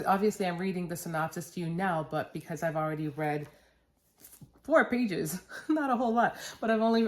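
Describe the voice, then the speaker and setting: A middle-aged woman vlogging about books in a casual, rambling way, correcting herself and laughing at her own words, with a stuffed-up nose. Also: fairly low pitch. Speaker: book vlogger. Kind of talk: casual chatty book review. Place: basement at home.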